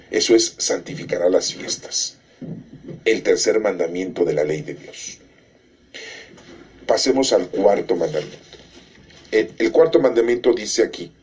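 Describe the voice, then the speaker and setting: A man's voice: sits low, a little mellow. A middle-aged man explaining religious teaching emphatically, asking rhetorical questions and checking in with his listeners. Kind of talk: catechism explanation.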